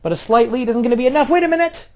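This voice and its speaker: Excitable man calling game wrestling. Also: very fast. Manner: swings between loud outbursts and low offhand asides, swears casually. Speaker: play-by-play commentator. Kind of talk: excitable play-by-play of a video game wrestling match.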